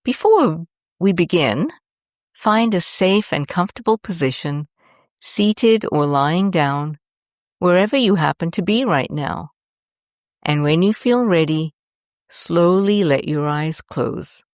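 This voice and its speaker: Female meditation guide: low voice, very unhurried, soothing and deliberate, close dry sound. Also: expressive, darkish tone, dry recording. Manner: soothing, deliberate, with long pauses between short phrases. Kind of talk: scripted guided meditation narration.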